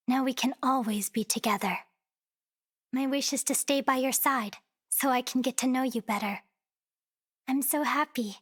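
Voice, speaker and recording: A woman's voice: high-pitched, a little slow, pauses often, husky. A woman voicing a devoted, possessive young girl in an anime game, with stilted, earnest, formal speech. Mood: happy, devoted.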